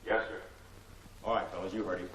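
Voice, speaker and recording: A man's voice: deep voice, level tone, fairly quick, fairly bright tone. An actor playing a terse, tough henchman who answers to his boss in a 1940s film serial. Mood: defensive. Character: deferential male gang henchman, tough and terse. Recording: echoey, faintly noisy 1940s film soundtrack.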